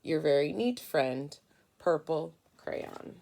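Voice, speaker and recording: A woman's voice: sits low, animated, slow pace, vocal fry, mellow, full character voice. A woman voicing a fussy, angry, neat-freak purple crayon from a storybook in an exasperated, insistent manner.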